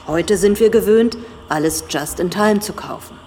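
An actress playing an authoritative female professor, lecturing gravely and formally in a German radio drama. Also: mid-range voice, normal speed, dark tone, lightly acted. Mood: urgent, lecturing.

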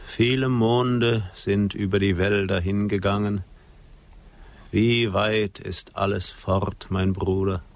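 An actor playing a proud, sorrowful Apache chief in a radio drama, with solemn, poetic delivery. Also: male voice, on the low side, flat delivery. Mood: mournful. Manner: solemn, poetic, measured declamation. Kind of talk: German radio drama acting.

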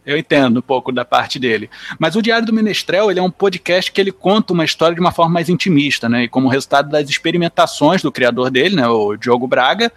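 High-pitched Brazilian man chatting on a podcast. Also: animated, quick pace, hardly any pauses, a bit bright, no echo. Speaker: podcast panelist. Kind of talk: casual podcast chat.

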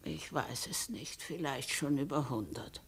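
An actress playing a very old, mild and candid servant in a 1950s radio play, respectful yet gently admonishing. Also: female voice, a bass voice, unhurried, gravelly, husky, heavily acted. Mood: mild, resigned.

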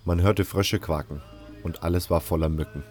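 Low-pitched male narrator over music. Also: steady tone, normal speed, a little mellow. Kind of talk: scripted first-person fantasy audio drama narration.

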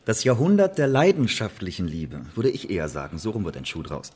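Lively, defiant, quarrelsome playwright. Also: male voice, fairly low pitch, fairly quick, husky, exaggerated voice. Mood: dismissive, arguing. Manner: argumentative, repetitive, sarcastic. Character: defiant, quarrelsome Elizabethan playwright accused of murder. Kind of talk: radio play dialogue.

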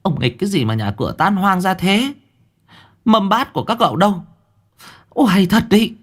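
A man voicing an older village wife who scolds her husband in a shrill, exasperated way. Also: lively intonation, normal speed, natural voice. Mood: alarmed scolding.

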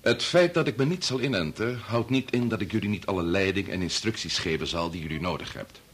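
An actor in a Dutch radio play, playing a grave, resolute older doctor who speaks in a measured, formal, authoritative way. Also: male voice, lowish voice, expressive, husky. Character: grave, resolute senior doctor and father leading an experiment.

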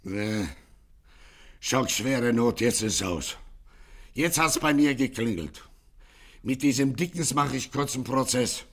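A Chicago gang boss in a vintage radio crime satire. He is furious and vengeful, blustering out his threats in exclamations at a middling male pitch. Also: takes it slowly, long pauses, a bit bright. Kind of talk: 1950s German radio crime satire acting.

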